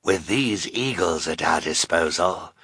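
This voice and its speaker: A man voicing a sinister, menacing male villain with a hoarse voice in an audiobook story. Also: sits low, expressive, a little slow, sharp tone, no echo, full character voice.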